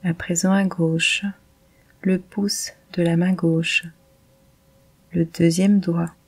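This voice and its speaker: A woman guiding a yoga nidra meditation in a calm, measured, soothing manner. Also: on the low side, husky. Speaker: meditation guide.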